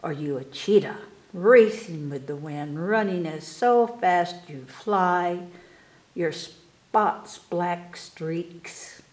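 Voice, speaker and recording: A woman reading children's poems aloud at storytime, expressively and with careful, animated delivery for young listeners. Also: on the low side, roomy sound. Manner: animated, unhurried, enunciating for children. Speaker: library storytime reader. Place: indoors in a room, book filmed on table.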